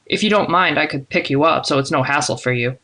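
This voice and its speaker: Woman voicing a low, friendly, disarming young man. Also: quick pace. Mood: warm, easygoing. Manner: calm, reassuring, casual. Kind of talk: audiobook character dialogue.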